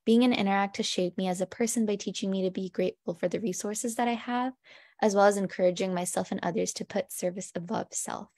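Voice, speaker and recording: Teen female panelist, earnest, slight fry, dry close sound. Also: mid-range voice, steady tone, fast talker, a little mellow, no room sound. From United States. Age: teens. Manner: earnest, enthusiastic. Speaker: youth panelist. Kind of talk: prepared panel answers. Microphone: video call.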